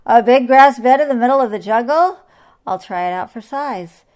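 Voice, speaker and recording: A woman's voice: medium pitch, steady tone, normal speed, darkish tone, natural voice. A woman voicing a big greedy male lion, grumpy and easily alarmed, roaring and growling in a children's story. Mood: blustering.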